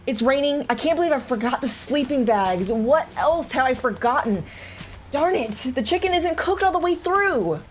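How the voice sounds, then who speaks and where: A woman's voice: high voice, expressive, normal speed, slight background noise. A woman playing a flustered, self-doubting mother who narrates and talks in an audio drama.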